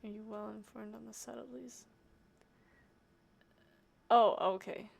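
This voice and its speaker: A woman game streamer giving casual reaction commentary in an expressive, informal, giggly way. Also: a little high, measured pace, creaky, breathy edge.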